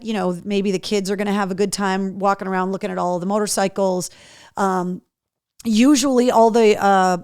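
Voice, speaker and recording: A middle-aged radio host woman, chatty and upbeat, sprinkling ums through her announcements. Her voice is deep and worn-in from years on air, yet smooth and clean. It sounds close and dry on a studio mic. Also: dry recording. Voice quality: deeper, worn-in voice from years of talking. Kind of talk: casual live-show announcements.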